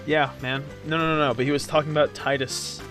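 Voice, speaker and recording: A man's voice: a little high, little pitch movement, quick pace, over music. A man hosting a let's play, giving casual, joking gameplay commentary to a co-commentator. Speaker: host of a video game let's play.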